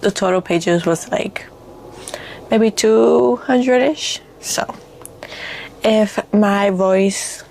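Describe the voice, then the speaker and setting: A woman with a raspy voice, a little congested from allergies, chatting casually and hesitantly about books as a vlogger. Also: mid-range voice, very slow, pauses often, a little mellow, slight background noise. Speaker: book vlogger. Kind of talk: casual book chat.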